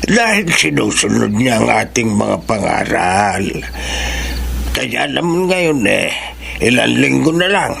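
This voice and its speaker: An old Filipino fisherman, very creaky and gravelly. He is a doting, folksy grandfather in a radio drama, talking slowly with lively swings of pitch. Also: slight background noise, exaggerated voice. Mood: fond, reflective. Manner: hesitant, folksy, fond. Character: kindly old fisherman grandfather, proud, doting, plain-living.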